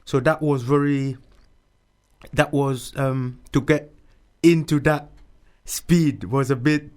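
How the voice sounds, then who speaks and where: A man's voice: medium pitch, steady tone, slow. A man from Mauritius being interviewed, talking casually and repeating words often.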